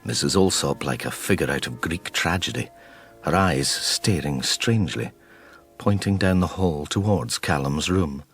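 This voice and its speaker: Casting: brooding, haunted psychic detective narrator, very low husky voice, radio play. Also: male voice, lively intonation, measured pace, fairly bright tone, faint music behind, exaggerated voice. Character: haunted, troubled male psychic detective narrating his story. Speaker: narrator and lead character. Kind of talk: radio drama first-person narration.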